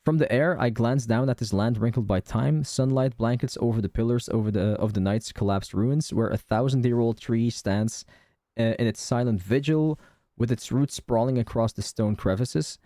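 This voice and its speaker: A man's voice: mid-range voice, moderate pace, warm tone, no echo, studio quality. A man streaming a game playthrough in a casual, informal way, commenting and reading text aloud. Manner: informal, sweary. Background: game music.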